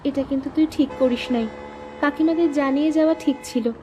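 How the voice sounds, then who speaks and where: Woman acting high-pitched bossy young woman. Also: steady tone, fairly quick, noisy background, natural voice. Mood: scolding. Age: young adult. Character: young woman, bossy, teasing childhood friend. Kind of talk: Bengali animated story dialogue.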